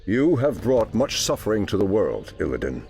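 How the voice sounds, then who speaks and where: Deep gravelly male distrustful warrior chief, formal, commanding, animated intonation, game cutscene. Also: moderate pace, soft background music. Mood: stern. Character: stern, distrustful warrior leader, commanding elder brother. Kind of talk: video game cutscene voice acting.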